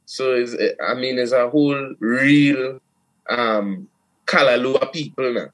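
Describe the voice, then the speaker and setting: A man from Trinidad with a Trinidadian accent, a steelpan player and producer talking in a casual interview, animated, with frequent restarts and "you know". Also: medium pitch, takes it slowly, low-quality recording.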